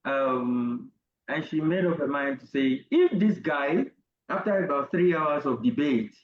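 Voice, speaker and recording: A middle-aged Nigerian man, an interview guest, telling a personal story in a deliberate, halting academic way. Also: on the high side, animated, roomy sound, highs cut off, a little hiss or hum. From Nigeria, Ogun State. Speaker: interview guest, university professor.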